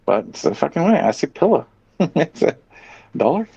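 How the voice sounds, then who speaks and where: A man's voice: fairly high pitch, big pitch swings, normal speed, vocal fry, warm tone, breathy edge. A man chatting casually as a podcast host, reading out items and reacting with profane, sardonic opinions. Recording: dull, muffled lo-fi audio.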